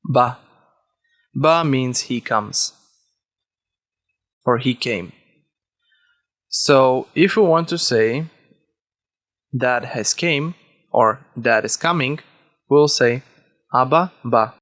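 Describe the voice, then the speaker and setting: Casting: male tutor, native Hebrew speaker, mid-pitched voice, deliberate instructional read that repeats key words for learners. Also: fairly bright tone. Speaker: language teacher. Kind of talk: instructional lesson, explaining step by step.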